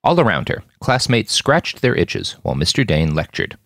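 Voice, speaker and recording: A man's voice: on the low side, huge pitch swings, normal speed, a bit bright, dry recording, clean studio sound. A man reading a novel aloud as scripted audiobook narration. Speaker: fiction podcast narrator reading own novel.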